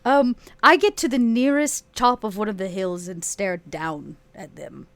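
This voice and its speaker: A woman player in a tabletop roleplaying game, chatting casually and haltingly as she thinks aloud. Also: mid-range voice. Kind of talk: casual improvised roleplay chat.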